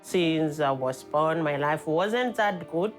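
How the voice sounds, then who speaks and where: A woman's voice: low voice, animated, takes it slowly, soft background music. A woman with a Kenyan accent recounting her life in an interview in simple words. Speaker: trafficking survivor. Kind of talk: interview testimony.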